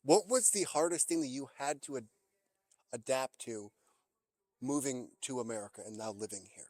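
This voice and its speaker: A man interviewing someone in a casual, conversational way, with somewhat halting phrasing. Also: mid-range voice, a little mellow, no room sound.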